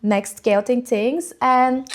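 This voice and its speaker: A woman's voice: fairly high pitch, fairly even intonation, slow pace. A young woman with a Turkish accent, a vlogger chatting unscripted to camera at home, rambling with many ums and likes. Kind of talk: casual unscripted chat to camera.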